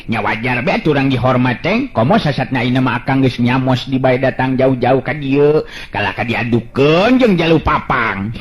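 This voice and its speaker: A man's voice: mid-range voice, lively intonation, normal speed, a little hiss or hum. A man with a Sundanese accent telling a folk tale and voicing its hero, chuckling often between lines. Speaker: folk storyteller.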